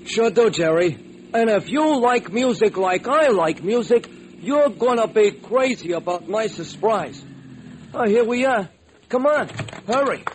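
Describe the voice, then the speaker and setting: An actor playing an eager, gullible, good-hearted ex-crook in an old-time radio drama, with folksy, excitable, slangy speech. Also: male voice, sits high, unhurried. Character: eager, gullible, good-hearted ex-burglar diner owner, male. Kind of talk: old-time radio comic sidekick acting. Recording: slightly noisy old radio recording.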